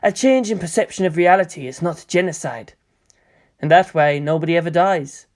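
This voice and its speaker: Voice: medium pitch, moderate pace, natural voice. A narrator voicing a guilt-ridden old male mentor with a brooding manner, in an audiobook. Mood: self-justifying.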